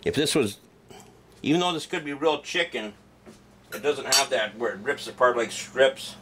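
A man's voice: mid-range voice, moderate pace, pushed and bright. A middle-aged man casually chatting as he cooks and tastes food in his home kitchen, rambling and offhand, with many restarts. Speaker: food vlogger. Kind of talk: casual cooking and tasting vlog. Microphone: camera mic set back in the room. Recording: distant camera mic with background noise.

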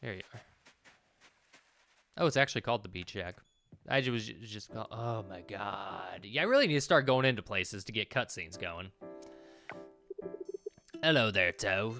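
A man's voice: on the low side, expressive, normal speed, gravelly, bright, forceful tone. A man in his thirties doing casual let's play commentary, muttering to himself and swearing offhandedly. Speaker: gaming video host. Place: small indoor room at home. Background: game music.

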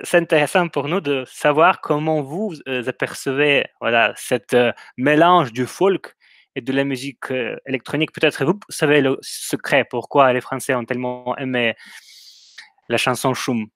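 A man's voice: mid-range voice, a bit bright, no room sound, studio quality. Hesitant man hosting a video call. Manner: slow, hesitant, many fillers. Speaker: host and moderator. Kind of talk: moderating a video call debate. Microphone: headphones on video call.

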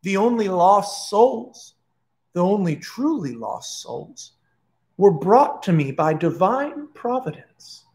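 A man voicing a grandiose demon of hell, solemn, deliberate and portentous, in a told horror story. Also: sits high, big pitch swings, creaky, a little mellow, full character voice. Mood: solemn menace.

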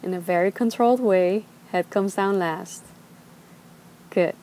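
A young woman teaching a gentle yoga class, giving slow, soothing instructions. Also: mid-range voice, slight background noise. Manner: calm, slow, soothing cues. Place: outdoors on a beach.

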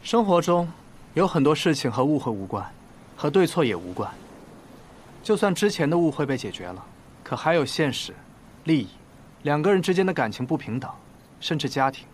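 A man's voice: on the high side, sing-song, normal speed, a little hiss or hum. A man playing an aloof, guarded, pessimistic young novelist in a modern romantic drama, speaking in a cool, detached way. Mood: somber, resigned. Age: young adult. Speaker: actor in a television drama.

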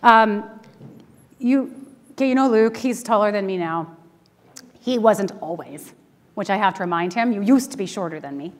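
A middle-aged Canadian woman pastor giving a conversational sermon with personal stories, informal, candid and animated. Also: mid-range voice, moderate pace, pauses often. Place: church sanctuary, speaking to a congregation. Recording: reverberant church hall.

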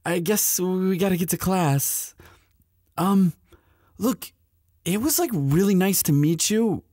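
A man voices a young male demon student, sweet and protective, in an intimate audio roleplay. His voice is soft-edged and husky, with lively pitch movement. It is recorded dry and close. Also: slow, no room sound, exaggerated voice. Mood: flustered, smitten. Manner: hesitant stammering when shy, firm when angry.

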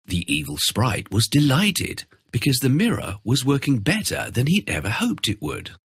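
A man narrating a children's fairy tale in an expressive, theatrical storytelling style. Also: mid-range voice, normal speed, vocal fry, slightly rough, no echo, studio quality.

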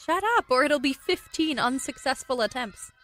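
A woman's voice: high voice, normal speed, fairly bright tone. An actress playing a playful young girlfriend in a radio play, with teasing, affectionate, conversational delivery. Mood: tentative. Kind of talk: radio play acting, intimate dialogue.

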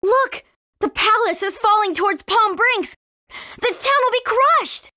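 A woman's voice: very high voice, expressive, unhurried, sharp tone, no room sound, studio quality, heavily acted. A woman playing a spirited, earnest young girl adventurer in a video game, speaking expressively. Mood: alarmed.

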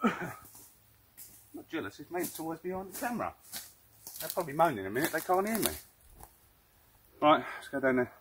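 A quiet-spoken man outdoors on rural land, dry and grumbling in tone. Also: a little high, very animated, normal speed, long pauses. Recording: distant voice outdoors with loud noise.

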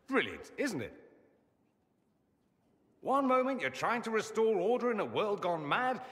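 A man's voice: high voice, measured pace, in a large hall, full character voice. A vain, witty mage nobleman. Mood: playful. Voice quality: arch, ironic wit. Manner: lively, teasing, theatrical. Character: witty, vain, charming male mage nobleman, young adult. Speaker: mage companion. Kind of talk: video game dialogue.

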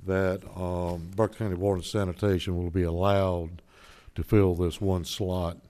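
Low, mellow council member making a motion. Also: male voice, level tone, unhurried. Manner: formal motion wording.

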